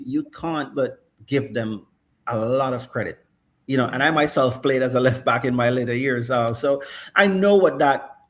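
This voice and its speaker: A man's voice: mid-range voice, fairly bright tone. Thoughtful middle-aged male football pundit. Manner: thoughtful, frequent "right?" and "um". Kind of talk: casual analytical chat.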